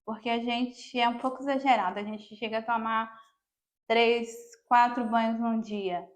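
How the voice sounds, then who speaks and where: A woman's voice: on the high side, steady tone, normal speed. A Brazilian woman talking casually as a podcast guest, sharing personal experience.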